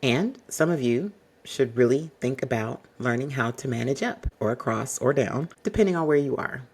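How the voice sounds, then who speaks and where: A man hosting an advice podcast, talking straight to the listener. His voice is husky with a gravelly edge and stays mostly monotone. His delivery is measured and exacting. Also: medium pitch. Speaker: podcast host.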